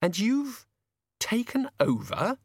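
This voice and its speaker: A man's voice: extremely high pitch, wildly swooping pitch, takes it slowly, creaky, breathy edge, no room sound, studio quality, voice pushed up, full character voice. A man voicing a forthright, indignant young woman in an audiobook, demanding and incredulous. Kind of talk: audiobook character reading.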